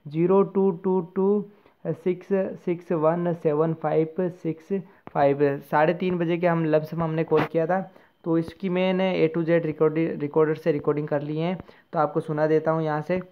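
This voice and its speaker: Phone-like, flat-pitched Indian man. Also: normal speed, a little hiss or hum. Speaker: host of a job-news channel. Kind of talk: informal explanatory voice-over addressed to viewers. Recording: muffled phone-like lo-fi sound.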